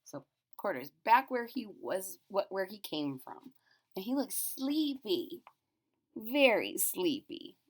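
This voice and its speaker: Female children's storyteller: expressive, animated intonation, unhurried pace, simple explanatory asides for young listeners. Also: medium pitch.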